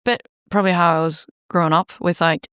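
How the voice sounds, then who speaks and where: A singer-songwriter woman from Norway, chatting casually in an interview, laughing easily and filling pauses with ums and likes. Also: fairly low pitch, fairly even intonation, fairly bright tone, smooth, no echo, studio quality. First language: Norwegian.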